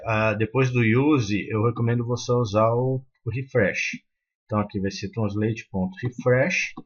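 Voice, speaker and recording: A man explaining code step by step in a screen-recorded tutorial, with many fillers and restarts. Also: fairly low pitch. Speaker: programming tutor. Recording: muffled lo-fi sound.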